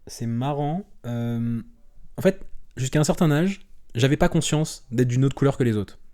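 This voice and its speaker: Relaxed young French man, mellow voice. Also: mid-range voice, moderate pace. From France. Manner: relaxed, rambling with frequent restarts and fillers. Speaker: guest. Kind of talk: casual conversational interview.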